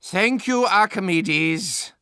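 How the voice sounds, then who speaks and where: Shrill, frantic mad scientist. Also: male voice, takes it slowly, sharp tone, smooth, dry recording, clean studio sound, full character voice. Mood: exasperated. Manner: hysterical, theatrical. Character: frantic mad scientist fused with a bird's head. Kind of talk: exaggerated video game character acting.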